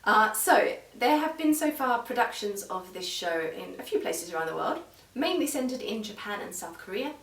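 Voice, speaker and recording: A woman giving an informal, measured review straight to viewers, explaining things as she goes. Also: medium pitch, big pitch swings, a bit bright. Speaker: video reviewer. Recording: roomy echo, distant microphone.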